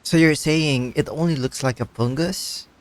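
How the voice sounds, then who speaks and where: A man's voice: on the high side, normal speed, lightly acted. A man voicing a level-headed young traveler in a video game, thoughtful and understated. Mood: matter-of-fact.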